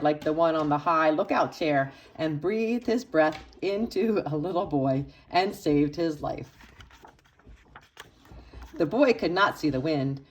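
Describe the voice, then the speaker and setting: A woman reading a children's picture book aloud expressively, with dramatic emphasis and pauses for young listeners. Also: low-pitched. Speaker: storybook reader for children's church.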